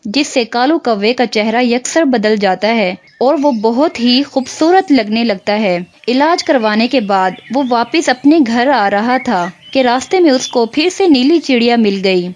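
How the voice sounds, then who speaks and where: Woman, storyteller, higher pitch, scripted children's tale narration, slight background noise.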